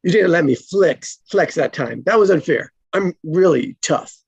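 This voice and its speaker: A woman playing an easygoing, playfully boastful male cop in a comedy script read, teasing and laid-back with a husky, gravelly voice. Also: on the low side, lively intonation, normal speed, no echo, lo-fi sound, full character voice. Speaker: actor in an online script table read. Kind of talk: live comedy screenplay table read.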